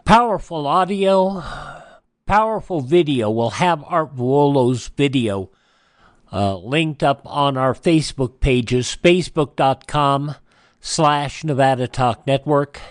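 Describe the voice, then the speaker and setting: Casting: male local radio morning host, slightly high voice, unhurried and measured, dry close studio sound. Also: no echo. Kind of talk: live local radio announcing.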